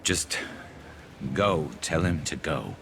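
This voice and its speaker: A battle-worn alien marksman for a video game. The voice is extremely low and thick with rasping fry, speaking in a measured, brooding way with dry wit. Clear ambient noise sits behind it. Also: expressive, full character voice. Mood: brooding, conflicted. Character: grim, vengeful alien male sniper with dry wit. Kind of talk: video game dialogue acting.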